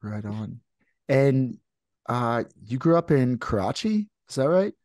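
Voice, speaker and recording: A man hosting a podcast, asking guests questions in a relaxed, halting way. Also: medium pitch, dry recording, studio quality. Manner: relaxed, halting with repeated words. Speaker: podcast host. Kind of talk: casual interview chat.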